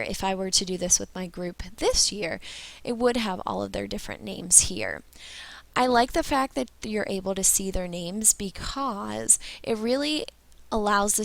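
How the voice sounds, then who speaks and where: A woman's voice: medium pitch, gravelly, a bit bright, no room sound. A young woman, a teacher who sounds stuffy and under the weather, patiently walking colleagues step by step through a training session. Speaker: teacher leading staff training. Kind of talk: instructional screen-share walkthrough.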